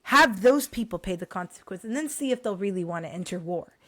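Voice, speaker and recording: A young woman vlogger, hoarse from recent illness, talking casually and animatedly to her viewers about books. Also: medium pitch, brisk, no room sound. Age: young adult. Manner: animated, addresses viewers as guys, many restarts. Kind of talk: casual direct-to-camera talk.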